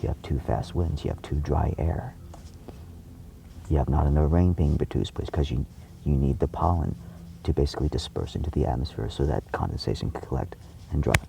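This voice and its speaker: A man giving an impassioned, indignant monologue to viewers, emphatic and repetitive, with a gravelly, husky voice. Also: extremely low pitch, brisk, mellow, a little hiss or hum. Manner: frequent swearing. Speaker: video creator addressing viewers.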